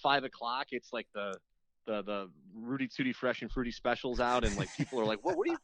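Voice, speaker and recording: A man with a mid-pitched voice speaks as a guest on a casual show. He rambles through anecdotes in halting bursts, slipping into quoted reactions, with no room sound around him. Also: lively intonation, fairly bright tone, no echo. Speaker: podcast guest, radio host. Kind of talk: casual reminiscing chat.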